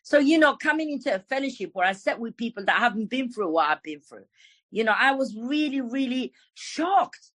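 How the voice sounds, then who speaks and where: A woman's voice: on the high side, expressive, moderate pace, a bit bright, no echo. A middle-aged woman from Morocco, sharing her recovery story at a meeting in a frank, emphatic way and swearing freely. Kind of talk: personal recovery share.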